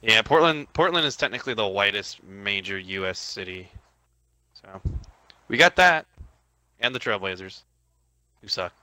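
A man co-hosting a casual gaming podcast, animated, full of "like" and casual swearing. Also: on the low side, normal speed, long pauses, no echo, rough recording. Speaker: podcast co-host.

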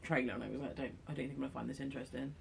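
A woman's voice: very deep voice, fairly even intonation, racing, creaky, a little hiss or hum. A woman in casual podcast conversation, insistent when she makes her point. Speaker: podcast host. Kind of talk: casual chat and debate.

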